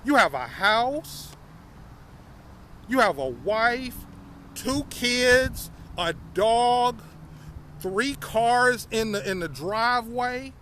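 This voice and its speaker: A man's voice: very high-pitched, expressive, very slow, bright, forceful tone, slight background noise. A man in his fifties telling his life story casually to viewers, often repeating phrases and calling them y'all. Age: 50s. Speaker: vlogger.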